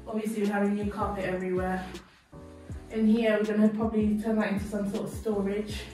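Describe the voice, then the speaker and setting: A woman's voice: medium pitch, flat delivery, quick pace, warm tone. Echoey, distant woman vlogger on a house tour. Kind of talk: casual walk-and-talk narration. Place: empty house rooms, hallway and stairs, indoors. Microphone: camera held by another person, several metres away. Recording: echoey empty rooms, distant mic, background music. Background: music.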